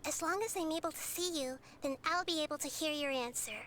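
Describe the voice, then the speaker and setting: A woman's voice: high voice, fairly quick, fairly bright tone, a little hiss or hum. A woman playing a small, wise, child-like goddess in a video game, speaking in a composed, earnest and articulate way. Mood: calm, helpful. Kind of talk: video game character acting. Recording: game music behind the voice.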